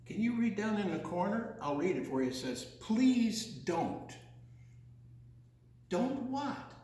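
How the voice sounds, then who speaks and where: Slow, high-pitched male storyteller in echoey room. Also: big pitch swings, mellow. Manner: theatrical, singsong, drawn-out delivery with teasing questions. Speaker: children's storyteller. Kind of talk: read-aloud picture-book story with playful asides to young listeners.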